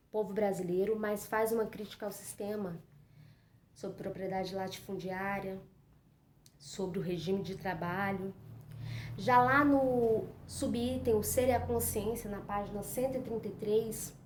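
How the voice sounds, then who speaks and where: A woman's voice: medium pitch, normal speed. A woman from Brazil presenting and explaining a book chapter in an earnest, informal way, often saying "então" and "né". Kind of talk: informal spoken book commentary. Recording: echoey room, faint noise.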